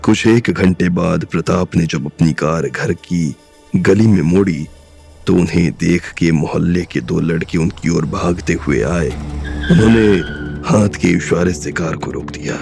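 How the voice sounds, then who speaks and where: A man narrating a crime drama audiobook in a measured, steady storytelling style. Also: low-pitched, vocal fry, soft background music.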